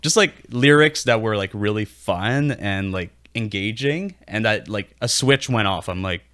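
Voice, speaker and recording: Chill quebec guy, first language french, lowish voice, laughs a lot, dry close mic. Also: a little slow, fairly bright tone, no echo. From Quebec, Canada. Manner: easygoing, laughs often, lots of fillers. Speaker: podcast guest, bassist. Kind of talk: casual interview chat.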